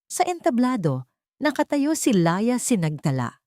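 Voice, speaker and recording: A woman with a Filipino accent reads a scripted dramatic story as an audiobook narrator, in an earnest, measured way. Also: on the high side, very animated, darkish tone, no echo, clean studio sound.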